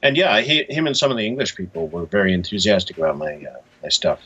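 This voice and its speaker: A man answering casually as an interview guest, hesitant, low-key and matter-of-fact. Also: lively intonation, fast, bright, forceful tone, husky, highs cut off. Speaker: interview guest, comics creator.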